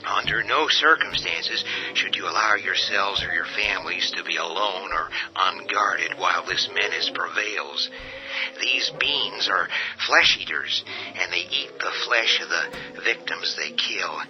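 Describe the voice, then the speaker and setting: A man playing a president addressing the nation over the radio in a radio drama, hushed and hesitant, with many pauses. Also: deep voice, full character voice. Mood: grave. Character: halting, grave male president addressing the nation. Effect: radio broadcast sound. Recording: dull lo-fi audio with faint music.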